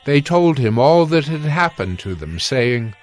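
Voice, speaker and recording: Man narrating scripture calmly. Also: medium pitch, a little slow.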